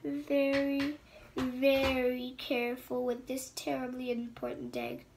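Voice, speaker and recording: A woman's voice: high voice, slow pace. A woman voicing a scheming, venomous female sand dragon queen who hisses and mocks, reading a storybook aloud. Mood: taunting. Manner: menacing, mocking, hissing taunts. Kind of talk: storybook read-aloud voice acting.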